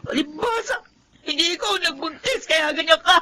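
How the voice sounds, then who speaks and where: High-pitched hurt young wife. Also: female voice, lively intonation, normal speed, bright, forceful tone, heavily acted. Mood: hurt, tearful. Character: hurt, defensive young wife grieving her lost baby. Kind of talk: Filipino radio drama acting.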